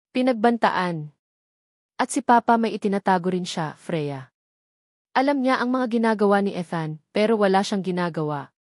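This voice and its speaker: A woman voicing a frightened, desperate young woman in a storybook drama, pleading emotionally in halting short sentences. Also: mid-range voice, darkish tone, no room sound, clean studio sound, natural voice. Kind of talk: dramatic audio storybook dialogue.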